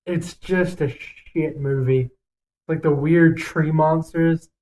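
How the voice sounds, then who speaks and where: A man's voice: fairly high pitch, fairly even intonation, takes it slowly, a little mellow, a little hiss or hum, low-quality recording. A man co-hosting a casual podcast, talking in a blunt, foul-mouthed and emphatic way as he gives his opinions. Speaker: podcast co-host. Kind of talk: casual podcast chat, ranking list.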